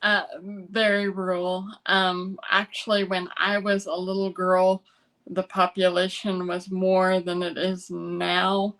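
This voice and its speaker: A woman with an American accent speaking conversationally as an interview guest, halting often to gather her thoughts. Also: lowish voice, monotone, a bit bright, rough recording. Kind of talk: conversational personal storytelling.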